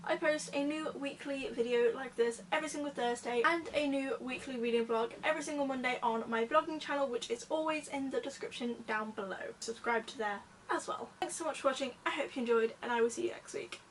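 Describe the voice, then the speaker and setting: A woman's voice: high voice, brisk, hardly any pauses, far from the mic, a little hiss or hum, poor audio. A woman book vlogger chatting casually to her viewers in a rambling, self-correcting way.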